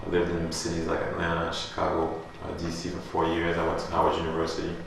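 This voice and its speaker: A deep, gravelly man from France, talking in a loose, rambling way full of fillers. His flat delivery sounds echoey and distant in a gallery space, with a faint hum behind him. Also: fast talker. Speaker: artist. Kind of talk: gallery artist talk.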